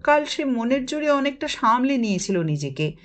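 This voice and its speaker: A woman's voice: high-pitched, big pitch swings, normal speed. A woman narrating a children's story expressively, as an audiobook reader. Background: music.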